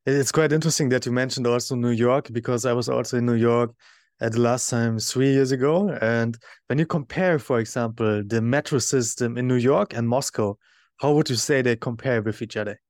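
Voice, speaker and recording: A man hosting a podcast, asking questions in a steady, chatty way. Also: medium pitch, fairly quick, no room sound, clean studio sound. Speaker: podcast host. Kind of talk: interview questions.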